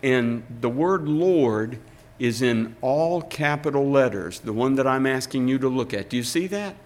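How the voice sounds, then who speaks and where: Slow, deliberate older male preacher. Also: medium pitch, lively intonation, a little mellow. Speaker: pastor. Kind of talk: sermon with scripture reading.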